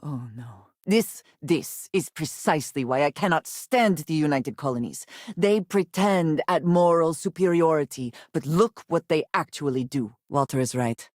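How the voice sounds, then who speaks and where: An actress playing a guarded, earnest and devout woman spacefarer in a video game, speaking in measured, formal phrases. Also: low voice, animated, a little mellow, dry recording, studio quality. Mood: alarmed, indignant. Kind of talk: video game character dialogue.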